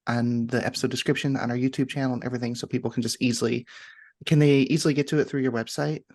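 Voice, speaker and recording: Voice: medium pitch, steady tone, quick pace, a little mellow. A podcast co-host asking questions in a friendly, encouraging way, with hesitant fillers between thoughts. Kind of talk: casual interview chat.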